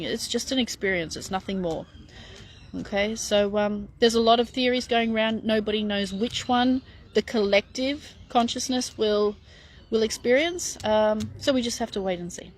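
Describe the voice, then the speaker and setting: A woman's voice: mid-range voice, moderate pace. A middle-aged woman chatting frankly as she answers viewer questions, with lots of fillers and restarts. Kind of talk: casual live Q&A.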